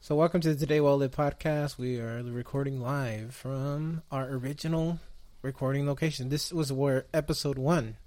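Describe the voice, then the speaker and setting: A man's voice: medium pitch, fairly even intonation, moderate pace, few pauses, a little mellow. A man hosting a podcast in casual chat, explaining things with dry, self-deprecating humor. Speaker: podcast host.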